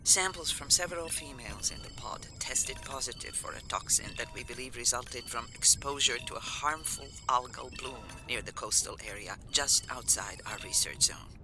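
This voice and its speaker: A man playing an earnest marine research scientist in a video game, giving a measured, explanatory scripted report. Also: mid-range voice, animated, over music, natural voice, poor audio. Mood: serious, informative.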